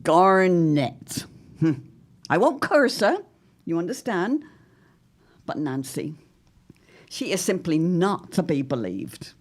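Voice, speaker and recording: Casting: protective, witty middle-aged matron, period audio drama, theatrical swoops, lowish female voice, genteel airs. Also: sing-song, takes it slowly, long pauses, exaggerated voice. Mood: indignant, catty. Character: arch, witty, protective society mother in 1917.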